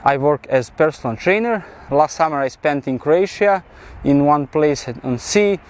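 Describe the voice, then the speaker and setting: A man in his twenties from Serbia with a Serbian accent, speaking halting, simple non-native English in a casual talk to camera. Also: fairly high pitch, animated. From central Serbia. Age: 20s. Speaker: personal trainer and vlog subject.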